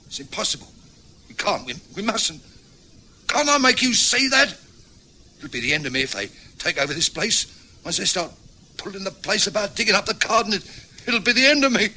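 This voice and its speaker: A man acting a panicked, guilt-ridden husband in an old radio drama, agitated and halting. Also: high voice, sing-song, sharp tone, full character voice. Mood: frantic, desperate. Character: panicked husband confessing a hidden killing.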